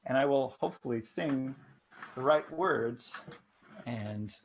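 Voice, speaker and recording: A man of middling pitch talks at a slow, unhurried pace with faint noise behind him. He rambles casually as a livestream co-host, wry and whimsical. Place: home livestream.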